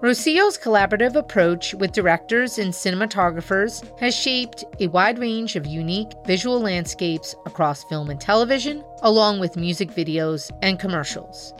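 A woman hosting a podcast, reading a formal scripted introduction and asking interview questions in a measured way. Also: medium pitch. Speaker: podcast host.

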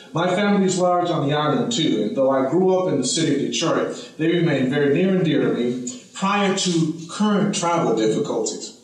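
A Cuban-born man giving earnest, measured formal testimony at a hearing. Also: mid-range voice, far from the mic. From Cuba. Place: large hearing room. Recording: roomy hall audio from off mic.

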